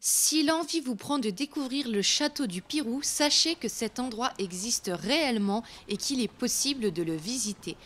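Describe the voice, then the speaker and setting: A woman's voice: fairly high pitch, expressive, normal speed, few pauses, a bit bright, a little hiss or hum. A woman hosting a storytelling show, narrating scripted folk legends in a theatrical, literary manner. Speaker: host and storyteller.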